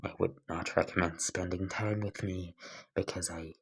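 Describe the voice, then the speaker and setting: A man voicing a bitter, vengeful young man turned giant cyborg, deadpan and hushed in a fan story. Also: low-pitched, creaky, breathy edge, exaggerated voice. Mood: flat hatred. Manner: slow, deliberate, deadpan, sarcastic.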